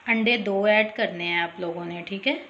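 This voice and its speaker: A woman narrating a cooking tutorial as voice-over, talking casually and directly to her viewers, often pausing with a quick "okay". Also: mid-range voice, fairly bright tone, lo-fi sound, a little hiss or hum. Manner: chatty, repeats "theek hai", addresses viewers directly. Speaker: cooking channel host.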